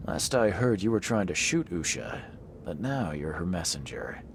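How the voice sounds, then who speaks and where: A weary video game investigator with an extremely deep voice. He questions bluntly and dryly, with a creaky rasp, against clearly noisy ambience. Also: sing-song, moderate pace, exaggerated voice. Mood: skeptical, stern. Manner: dry, probing, blunt. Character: gruff, weary male investigator, skeptical and blunt. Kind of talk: video game dialogue acting.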